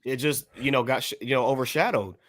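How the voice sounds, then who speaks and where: Man, podcast co-host, medium pitch, casual commentary, deliberate wordy phrasing. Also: fairly bright tone, no room sound, clean studio sound. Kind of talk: casual hip hop panel commentary.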